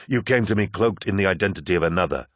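A man's voice: low-pitched, lively intonation, fast talker, no room sound. Man voicing a proud, imperious warlord. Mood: suspicious, accusing. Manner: imperious, emphatic. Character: proud, commanding warlord, defiant and suspicious.

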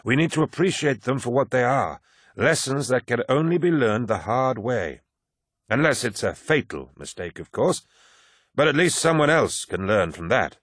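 A man doing a husky old veteran warrior. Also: mid-range voice, sing-song, moderate pace, a bit bright, no room sound, clean studio sound, voice pushed up. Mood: folksy lecturing. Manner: folksy, lecturing, calls listener boy. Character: old male veteran warrior, rambling, gently patronizing.

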